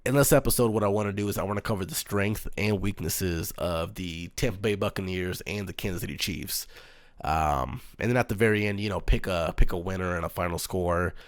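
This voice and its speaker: A man hosting his own sports talk show, speaking in a calm, earnest monotone with frequent pauses and "um"s. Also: deep voice, no echo. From United States. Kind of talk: solo sports commentary.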